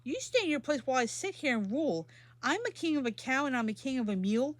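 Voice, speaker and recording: A woman's voice: high voice, expressive, fast talker, no echo, voice pushed up. Woman voicing a pompous, bellowing turtle king. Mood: boastful. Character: boastful, bossy male turtle king, loud and demanding.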